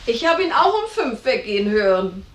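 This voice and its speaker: An actress playing a spiteful, contrary daughter in a radio drama, with a pointed, contradicting manner. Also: female voice, fairly high pitch, big pitch swings, moderate pace. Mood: pointed, accusing. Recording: roomy, echoing studio sound.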